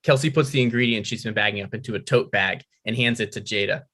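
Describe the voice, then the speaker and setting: Male stage-direction reader, forward bright tone, average pitch, scripted narration. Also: fast. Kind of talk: reading screenplay directions aloud.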